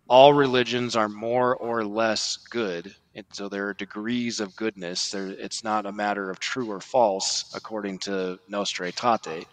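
A man giving formal, measured religious commentary on a podcast, quoting documents and stressing points as he lists them. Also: on the low side, fairly bright tone, low-quality recording.

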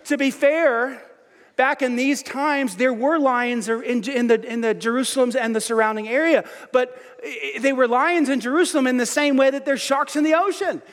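A middle-aged man preaching a sermon as pastor, lively and self-mocking, often restarting his sentences. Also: very high voice, moderate pace, big-room sound.

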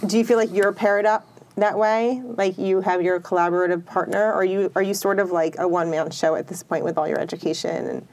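A woman's voice: medium pitch, fairly even intonation, fairly quick, a little mellow. A woman interviewing a guest, asking curious, chatty questions.

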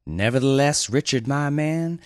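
A man's voice: mid-range voice, lively intonation, unhurried, no room sound, studio quality, pitched above the performer's own voice, natural voice. A man doing a laid-back teasing office guy. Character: laid-back adventurous male office worker.

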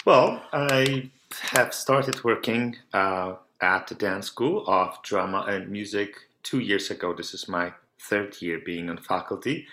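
A man's voice: lowish voice, sharp tone. A man from Poland, a clarinet professor answering radio interview questions at length, often restarting and repeating words. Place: remote call into a radio show. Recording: roomy echo.

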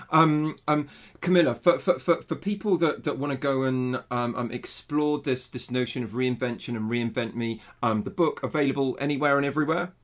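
A man hosting a podcast, talking in a halting way full of repeated words and fillers. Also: medium pitch. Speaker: podcast host. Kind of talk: casual interview chat.

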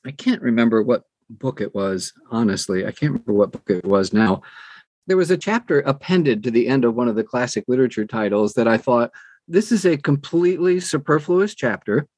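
A man's voice: medium pitch, animated, a little fast, a little mellow, no room sound. A middle-aged or older man chatting casually as an interview guest, telling stories and acting out bits of dialogue. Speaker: interview guest, audiobook narrator. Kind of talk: casual conversational storytelling.

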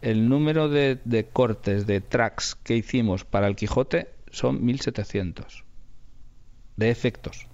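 A man's voice: mid-range voice, takes it slowly, a little mellow, muffled recording. A man with a Spain accent chatting colloquially as a radio drama sound producer, repeating phrases for emphasis. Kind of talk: casual conversational interview.

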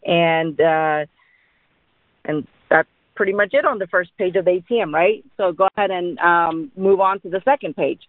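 A woman explains things informally as a sales trainer, often tagging on little check-ins. Her pitch sits on the low side for a listener expecting a light voice, and it comes through a thin, phone-like line. The sound is dry and close, with no room around it. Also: normal speed, dry recording. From born in India, grew up in Dallas, Texas. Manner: explanatory, with frequent check-in tags like "right?" and "okay?". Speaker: insurance agent giving sales training. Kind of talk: informal instructional talk.

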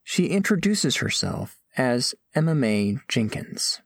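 A man playing a gentle, earnest alien scholar who narrates audio fiction in measured, formal, precise language. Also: mid-range voice, very animated, gravelly, heavily acted. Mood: reflective. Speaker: first-person narrator of a story.

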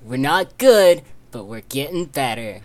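A woman's voice: rumbling low, very animated, a little slow, a crowd in the background. A woman reading aloud and joking casually among friends as a co-host.

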